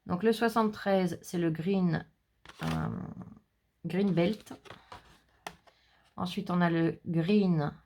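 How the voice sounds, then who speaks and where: A woman's voice: on the low side, animated, slow pace, long pauses, a little mellow, a little hiss or hum. A woman vlogging a scrapbooking haul, chatting casually as she reads out color names and numbers and jokes about herself. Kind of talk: casual haul video, showing products. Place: indoors, shutters closed against the heat.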